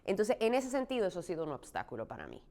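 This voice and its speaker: A woman raised in Puerto Rico, an actress answering interview questions thoughtfully, often pausing with fillers. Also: on the low side, wildly swooping pitch, dark tone. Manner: thoughtful, frequent restarts and "eh" fillers.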